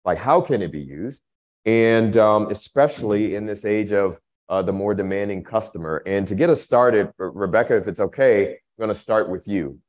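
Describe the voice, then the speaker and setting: A man's voice: fairly low pitch, moderate pace, darkish tone. A man moderating a live panel discussion, explaining questions to the panelists and audience.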